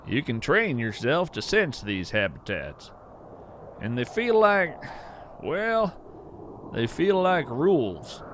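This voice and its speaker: A man playing an earnest wandering naturalist in scripted audio fiction, narrating in a measured, poetic way with deliberate pauses. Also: medium pitch, noisy background. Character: earnest, wonder-struck wandering naturalist of hidden creatures. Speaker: podcast host narrator in character.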